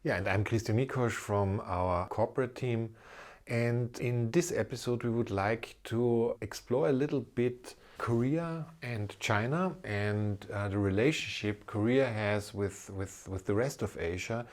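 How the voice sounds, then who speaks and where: A man hosting a podcast conversationally, a little halting and sometimes repeating his words. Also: mid-range voice, a little mellow. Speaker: podcast host, corporate lawyer.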